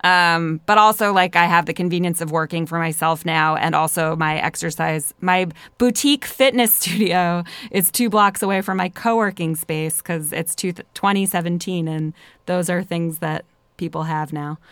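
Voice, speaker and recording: The guest in the other chair on a laid-back podcast: a Philadelphia woman with a clear, slightly low voice. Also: normal speed, fairly bright tone, dry recording. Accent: Philadelphia American. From Philadelphia, United States. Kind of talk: casual conversational chat.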